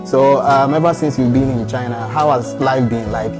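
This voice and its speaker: A man's voice: mid-range voice, normal speed, music in the background. A man with a Ghanaian accent hosting a casual interview show, often echoing back what is said to him. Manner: echoes the guest's phrases back.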